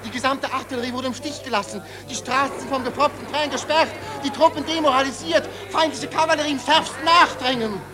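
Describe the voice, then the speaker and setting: An actor playing an urgent military messenger giving a clipped report in a radio drama. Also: male voice, extremely high pitch, fairly quick, hardly any pauses. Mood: urgent, alarmed.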